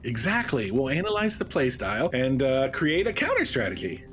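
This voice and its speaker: Man acting earnest gamer, lively swooping intonation, slightly high voice, radio play, background music. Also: normal speed. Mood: scheming, determined. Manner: earnest, theatrical. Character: eager male gamer rallying his friends to adventure. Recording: music under the dialogue.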